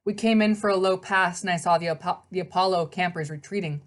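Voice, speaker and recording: A woman's voice: lowish voice, fast talker. A woman reading a children's novel aloud as narrator, in scripted storybook narration.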